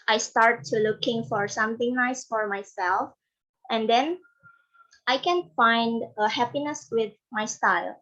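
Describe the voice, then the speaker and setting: A woman speaks slowly over a dull, lo-fi video call. She gives a class speech in a hesitant way and pauses often with "uh". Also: on the high side, a bit bright. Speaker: student speaker. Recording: dull lo-fi online call audio.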